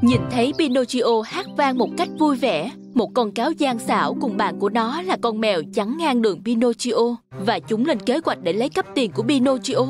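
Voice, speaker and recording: A woman's voice: on the high side, animated, moderate pace, hardly any pauses, over music. A woman narrating a scripted fairy tale for children.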